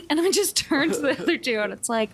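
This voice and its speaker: A woman's voice: sits high, animated, fairly quick. A woman playing a tabletop roleplaying game, chatting casually and thinking things through with wry humor. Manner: wry, reasoning aloud. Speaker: player. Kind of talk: casual tabletop actual-play chat.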